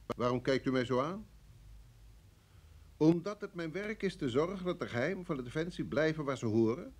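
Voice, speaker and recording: A brusque senior official of a secret service in a vintage radio play. His husky voice sits fairly high and swings widely as he speaks with formal authority. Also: expressive. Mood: firm, persuasive. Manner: authoritative, formal, measured phrasing. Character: senior security service chief, brusque, pressured, formally apologetic. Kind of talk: vintage Dutch radio drama acting.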